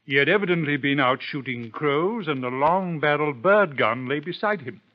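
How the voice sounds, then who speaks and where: An actor playing a pompous, self-assured country doctor with a formal, dismissive manner in a radio drama. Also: male voice, fairly high pitch, expressive, moderate pace, lightly acted. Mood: firm, huffy. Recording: dull old radio recording.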